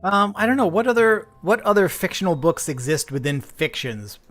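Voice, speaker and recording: A man hosting a let's play, giving casual game commentary in a halting, musing, joking way. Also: sits high, big pitch swings, a bit bright.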